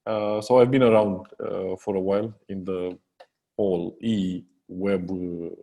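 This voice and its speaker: A man with a Romanian accent, a software company co-founder talking as a podcast guest. He hesitates a lot, with many fillers and restarts. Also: deep voice, fairly even intonation, a little slow. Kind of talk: casual interview chat.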